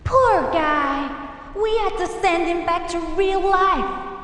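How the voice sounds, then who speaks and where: An actress playing a sweet, flirty game show assistant in a video game. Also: female voice, extremely high pitch, slow pace, fairly bright tone, full character voice. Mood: sweet, breezy. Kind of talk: showy TV co-presenting in a game.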